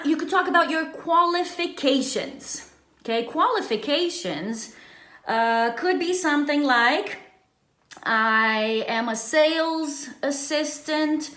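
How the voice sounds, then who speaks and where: A woman's voice: sits high, a bit bright. A woman giving an informal bilingual language lesson as a teacher, often checking in with short tag questions. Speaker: English teacher. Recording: echoey, roomy sound.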